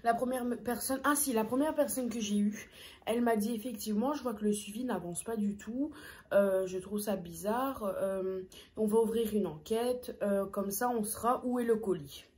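A woman's voice: mid-range voice, normal speed, a little mellow. A young woman telling a story casually while eating on camera, chatting with lots of hesitant fillers, in a small room close to the camera. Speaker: food vlogger.

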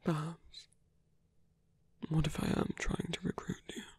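A man voicing a seductive, possessive villain in an intimate ASMR roleplay, coaxing slowly in a breathy whisper. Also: fairly high pitch, level tone, darkish tone, full character voice. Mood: low coaxing.

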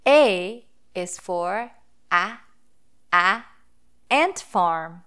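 Slow-paced woman reading to children. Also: medium pitch, a bit bright. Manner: deliberate, sounding out letters and words for children. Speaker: host of children's read-aloud phonics lesson. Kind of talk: children's picture book read-aloud with phonics teaching.

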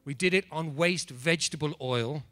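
A middle-aged man with an English accent giving a playful, animated comedy talk to a room on a handheld mic. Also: fairly high pitch, moderate pace, no room sound. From England. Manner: animated, playful, mock-apologetic. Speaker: speaker. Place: conference room.

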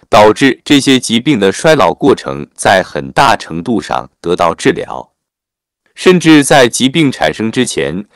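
A man narrating a written article in a formal, measured read-aloud manner. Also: on the low side, dry recording, studio quality.

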